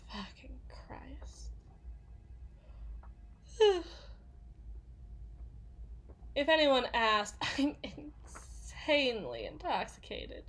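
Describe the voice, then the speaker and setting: A woman playing a jaded, foul-mouthed bodyguard confiding in a partner in an intimate ASMR roleplay, halting and often sinking into whispers. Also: fairly high pitch, fairly bright tone. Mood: rueful, tipsy.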